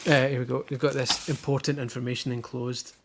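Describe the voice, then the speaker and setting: A man's voice: medium pitch, little pitch movement, brisk, very noisy. A young Scottish man chatting casually at home as he unboxes, with dry jokey asides. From Scotland. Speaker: vlogger unboxing a baby box. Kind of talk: casual chat. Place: living room at home.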